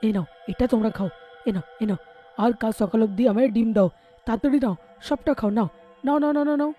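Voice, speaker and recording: A man plays a greedy, scheming young villain from a cartoon folk tale. He talks very quickly in a soft, mellow tone and wheedles as he plots. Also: high voice, animated, faint music behind. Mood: frustrated, pushy. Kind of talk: animated horror folk-tale dub.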